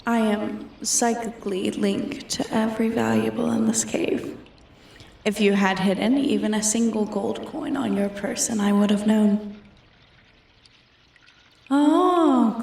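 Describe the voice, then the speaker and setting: A woman voicing a bored, menacing female dragon who taunts a human thief in a slow, imperious, deliberate manner for a roleplay ASMR. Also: on the high side, fairly even intonation, gravelly, a little mellow, roomy sound, distant mic. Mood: commanding. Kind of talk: roleplay ASMR, scripted character acting.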